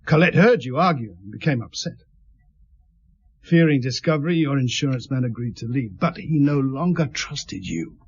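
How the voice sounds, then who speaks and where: An actor playing a stern, shrewd police inspector who questions methodically and sardonically in a radio drama. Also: male voice, medium pitch, lively intonation, moderate pace, husky, lo-fi sound. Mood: methodical, accusing. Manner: pressing, methodical, sardonic interrogator.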